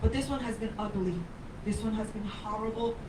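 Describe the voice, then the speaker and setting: An older woman from Puerto Rico speaks as a mayoral candidate, emphatic and wandering through personal stories. Her voice sits high. She is picked up from a distance in an echoey bar, with clear crowd noise underneath. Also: fairly quick. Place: bar with a live audience. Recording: roomy sound from off the mic.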